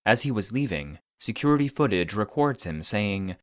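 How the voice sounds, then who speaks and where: A man's voice: on the low side, very animated, normal speed, dry recording, clean studio sound. A man reading scripted fictional case files as a narrator, in a formal, clinical report manner.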